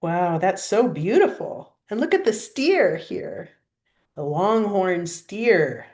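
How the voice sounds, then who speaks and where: Animated older woman reading a picture book. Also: mid-range voice, slow pace. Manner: gently prompting with questions. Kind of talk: storybook read-aloud with asides to a child.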